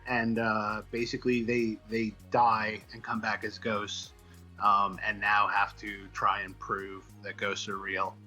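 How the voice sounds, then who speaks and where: A man with a very bright, forceful voice talks as a guest in a casual interview. He tells stories in a rambling, wry way, over a faint bed of music. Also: lowish voice, monotone, unhurried. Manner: rambling, wry, informal storytelling. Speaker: guest comics writer.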